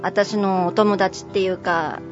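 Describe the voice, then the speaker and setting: A woman's voice: mid-range voice, fairly even intonation, unhurried. A woman guest on a radio show in casual, playful chat, agreeable and attentive. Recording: muffled audio with music.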